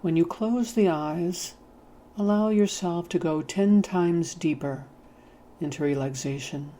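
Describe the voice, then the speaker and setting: Slow, mellow, soothing meditation voice. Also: medium pitch. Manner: soothing, measured, gently instructing. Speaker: guided meditation narrator. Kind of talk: hypnotic guided meditation narration.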